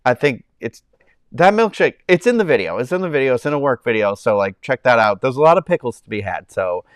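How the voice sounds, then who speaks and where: A man's voice: mid-range voice, very animated, fairly quick, clean tone, no room sound, clean studio sound. A man hosting a casual live stream, nasal and congested from a summer cold, rambling with lots of ums and restarts. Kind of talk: casual chat with live chat viewers. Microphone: clip-on mic under his shirt.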